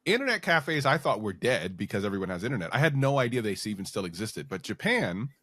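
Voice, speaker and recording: A man hosting a podcast, chatting casually and talkatively as he interviews a guest, with compliments and gentle teasing. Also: fairly low pitch, sing-song, quick pace. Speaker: podcast host.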